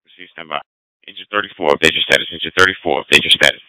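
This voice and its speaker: A man's voice: extremely low pitch, very fast, pushed and bright, no echo, rough recording. A man dispatching fire and emergency units over two-way radio, procedural and repeating key details.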